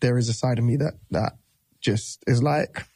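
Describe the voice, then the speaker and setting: A man's voice: medium pitch, steady tone, normal speed, dark tone, slightly rough, no echo, studio quality. A man in his thirties, a musician guest, talking casually in an interview, loose and rambling with many restarts.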